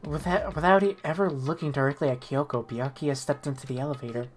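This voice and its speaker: A woman voicing an earnest, questioning high school boy who narrates a game story. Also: fairly even intonation, fast. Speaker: narrator and player in a group game dub. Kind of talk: scripted narration and dialogue mixed with casual chat. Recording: music behind the voice.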